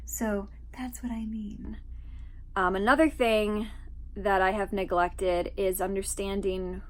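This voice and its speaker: A woman's voice: medium pitch, a bit bright. Young female channel host, a bit stuffy, chatty and unscripted, lots of ums. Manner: chatty, frequent ums and asides. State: stuffy from allergies. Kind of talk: casual talk to camera.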